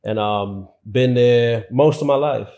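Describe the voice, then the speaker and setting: Man, 30s, from Jamaica, podcast guest, easygoing, repeats words, mid pitch. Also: takes it slowly, dark tone. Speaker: guest, business owner. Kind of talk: casual interview chat.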